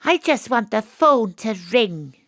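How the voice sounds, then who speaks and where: An actress playing a wry, world-weary private detective, narrating and talking in an audio drama. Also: female voice, a little high, very animated, takes it slowly, fairly bright tone, no echo, full character voice. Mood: glum, plaintive. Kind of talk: audio drama dialogue and noir-style narration.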